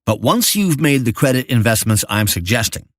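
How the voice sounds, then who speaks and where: An older man reading a financial memo as scripted narration in a measured, formal manner. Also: medium pitch, very animated, no room sound, clean studio sound.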